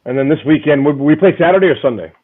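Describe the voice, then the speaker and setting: A man hosting a casual fan chat, loud and rambling, often repeating his words. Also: fairly high pitch, racing, slightly rough, phone-quality sound. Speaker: podcast host.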